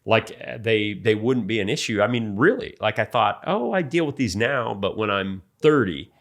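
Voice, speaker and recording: Man, late forties, low voice, earnest and halting, reflective faith-based podcast talk. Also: expressive. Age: 40s. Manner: earnest, halting, many restarts. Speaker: podcast co-host.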